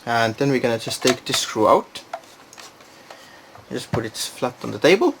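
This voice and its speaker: A man's voice: medium pitch, sing-song, normal speed, gravelly, background noise. A man giving a casual, unscripted product demonstration, thinking aloud and hesitating as he goes. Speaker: product reviewer.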